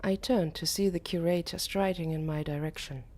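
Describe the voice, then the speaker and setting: A woman voicing a curious, uneasy teenage boy who narrates an audiobook in a measured, earnest way. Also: fairly low pitch, steady tone, darkish tone, lightly acted. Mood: narrating.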